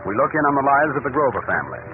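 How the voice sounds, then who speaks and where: A man's voice: mid-range voice, big pitch swings, very fast. A man playing an earnest, formal announcer narrating a mystery in dramatic 1930s radio style on an old recording. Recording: old radio broadcast, phone-like, music behind.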